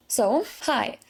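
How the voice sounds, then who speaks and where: A woman's voice: fairly high pitch, creaky. A woman VTuber narrating a scripted video, addressing her viewers in a chatty way. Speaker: VTuber and content creator. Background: background music.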